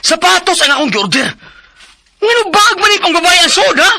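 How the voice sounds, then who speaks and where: Actor playing an angry customer, very high sharp voice, dry sound, shouting, radio drama. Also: male voice, big pitch swings, brisk, vocal fry, dry recording, exaggerated voice. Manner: shouting, accusing. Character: hot-tempered male customer, accusing and violent.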